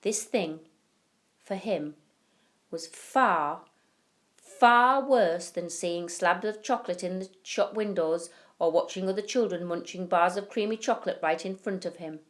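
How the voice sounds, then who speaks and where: A woman with a slightly low voice narrates a story for young children. She takes it very slowly and deliberately, stretching key words for emphasis like a teacher reading to pupils.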